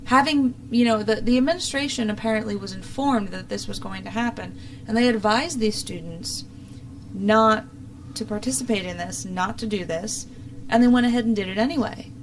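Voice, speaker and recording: A woman's voice: medium pitch, normal speed, a little hiss or hum, rough recording. A young adult woman giving opinionated, casual political commentary as a talk show host, in an exasperated, sarcastic manner.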